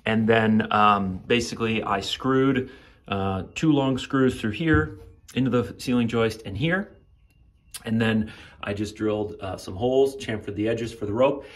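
A middle-aged man giving a relaxed, rambling walkthrough of his home garage setup, filmed on a handheld phone, with many fillers. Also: lowish voice, a little slow. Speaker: home gym vlogger. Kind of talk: casual how-to walkthrough. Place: home garage, then attic. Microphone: handheld phone camera.

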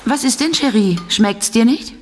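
A woman's voice: on the high side, lively intonation, measured pace, darkish tone, soft background music. An actress playing an affectionate, playfully chiding woman in an audio drama. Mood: teasing. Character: teasing, affectionate female companion of a professor. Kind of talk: radio drama dialogue.

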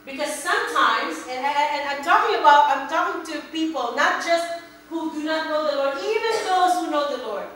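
High-voiced woman preaching in echoey church. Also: normal speed. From Philippines. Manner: animated, gesturing, repeats words when emphasizing. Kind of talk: sermon. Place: church sanctuary, indoors. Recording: very echoey hall, a bit distant, faint hum.

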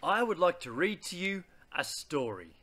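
A man, reading a children's picture book aloud in a deliberate, theatrical way. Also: high-pitched, sing-song, a bit bright. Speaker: read-aloud host.

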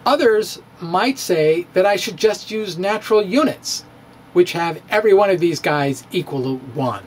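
A man's voice: high voice, lively intonation, normal speed, a little hiss or hum. A middle-aged man giving a playful, theatrical reading of his own physics blog, recorded at home on a close studio microphone. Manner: playful, theatrical, with chuckles and asides. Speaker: physics blogger. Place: home study with bookshelves, indoors. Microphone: studio microphone on stand, close.

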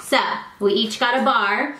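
Stuffy-nosed woman in her thirties, chatty vlogger, slightly high voice, roomy sound. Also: measured pace, background noise. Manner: chatty, self-deprecating, warm toward her child. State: congested from allergies. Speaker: product vlogger and consultant. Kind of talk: casual chat to camera. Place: living room, indoors.

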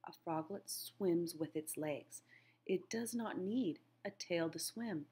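Slow-reading, slightly husky woman teacher. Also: lowish voice, mellow. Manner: simple, deliberate phrasing, addressing young listeners with questions. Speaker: teacher reading aloud to children. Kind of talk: read-aloud of a children's nature book with questions to listeners.

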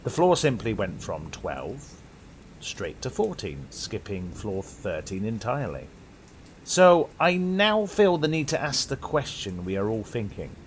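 Animated British male horror storyteller. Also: on the low side, unhurried, slight background noise. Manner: dramatic, suspenseful delivery. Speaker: horror story host and narrator. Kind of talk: theatrical scripted horror narration inspired by old radio.

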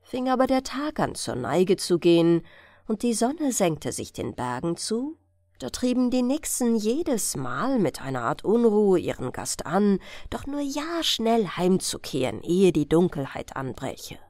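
Female audiobook narrator for bedtime fairy tales: unhurried, melodic intonation, gentle delivery, dry close sound. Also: mid-range voice, very animated, dry recording.

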